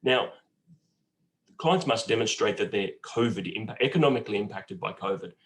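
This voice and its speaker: Croaky low-voiced banker. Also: male voice. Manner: halting, many fillers and restarts, candid. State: croaky, hoarse voice from a cold. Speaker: bank manager guest speaker. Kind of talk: webinar talk answering questions. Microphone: video call.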